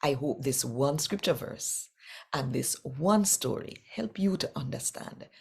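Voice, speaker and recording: A woman from Jamaica with a Jamaican accent, telling a faith testimony in an earnest, measured way. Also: extremely low pitch, expressive, gravelly, husky. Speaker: Bible reader sharing testimony. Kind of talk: personal faith testimony to camera.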